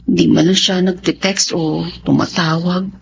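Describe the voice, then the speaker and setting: A woman's voice: lowish voice, steady tone, a little slow, gravelly. An actress playing an anxious, apologetic young Filipino woman in a radio drama, speaking politely and hesitantly. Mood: worried. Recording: dull, noisy recording.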